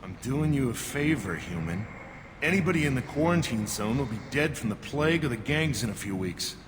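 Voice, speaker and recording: Man acting a gruff alien guard in echoing hall. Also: mid-range voice, lively intonation, fairly quick, lightly acted. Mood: scornful, curt. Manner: curt, condescending. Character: gruff, dismissive male alien quarantine guard. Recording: long reverb, background noise.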